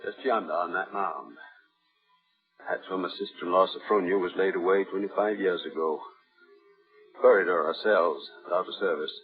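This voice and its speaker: An actor as a low, menacing husband, tinny old radio. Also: male voice, close to an everyday voice. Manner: folksy, matter-of-fact, controlling. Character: menacing, domineering husband, quietly deranged killer. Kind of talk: 1950s radio thriller acting.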